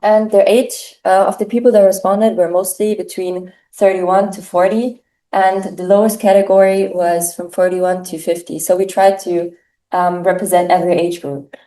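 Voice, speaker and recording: A teenage girl from Germany, a native German speaker, presenting survey results with frequent pauses. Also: mid-range voice, steady tone, dark tone, reverberant, poor audio. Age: teens. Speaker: student presenter. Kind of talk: class presentation.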